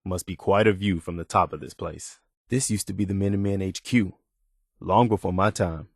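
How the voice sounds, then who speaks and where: A dutiful militia officer in a video game. His voice is on the low side and recorded dry and close. He speaks plainly and earnestly, like a loyal idealist who believes in the cause. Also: steady tone, gravelly, no room sound. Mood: wary, determined. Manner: earnest, measured, plain-spoken. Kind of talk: video game character dialogue.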